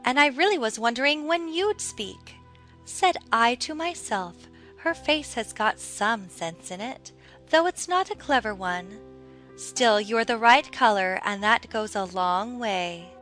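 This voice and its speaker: A woman's voice: fairly high pitch, lively intonation, measured pace, a bit bright, soft background music. A woman voicing a prim, frank, matter-of-fact talking rose in a dramatized children's audiobook. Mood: prim, appraising.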